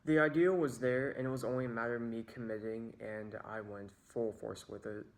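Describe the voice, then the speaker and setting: A man's voice: mid-range voice, steady tone, brisk, poor audio. A young man narrating a scripted storytime about his own experiences as a video creator. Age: young adult.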